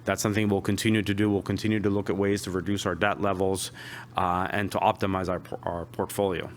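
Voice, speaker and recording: Low-voiced Peruvian executive summing up. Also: male voice, little pitch movement, fast, a little hiss or hum. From Peru. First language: Spanish. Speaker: finance executive presenting. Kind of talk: investor presentation.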